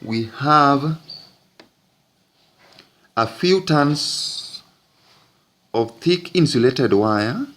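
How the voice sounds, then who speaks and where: A man teaching physics, narrating an explanatory lesson in deliberate, halting phrases with frequent breaks. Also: medium pitch, lively intonation, fairly bright tone.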